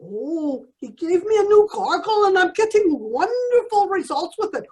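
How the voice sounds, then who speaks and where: A woman's voice: very high-pitched, very animated, normal speed, darkish tone. A woman playing a sentimental, easily affronted landlady in an old-time radio comedy, theatrical and folksy. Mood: cheery, doting. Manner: theatrical, folksy scolding and endearments.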